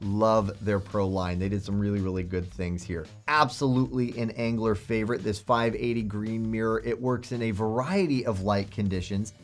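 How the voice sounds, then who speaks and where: A man's voice: lowish voice, fairly even intonation, moderate pace, few pauses, faint music behind. Upbeat man hosting a gear review. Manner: upbeat, chatty salesman enthusiasm. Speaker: host. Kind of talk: product review talk to camera.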